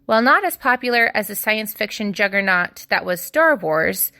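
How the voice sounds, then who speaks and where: Female history podcast host played by an actress in a fiction podcast: bright, forceful tone, measured explanatory delivery with opinionated asides. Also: a little high, heavily acted. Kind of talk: scripted podcast narration.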